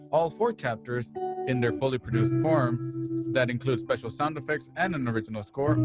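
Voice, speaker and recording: A man reading a dramatic promotional sponsor spot as an announcer, with music behind him. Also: medium pitch. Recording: loud plucked guitar music under voice. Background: loud guitar music.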